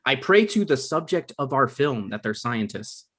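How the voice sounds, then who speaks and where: Exasperated, sarcastic young writer type, read by a man, deadpan, argumentative, medium pitch, comedy. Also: normal speed. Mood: deadpan correcting. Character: Ryan, sarcastic, exasperated adult son and aspiring TV writer. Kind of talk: comedy script table read.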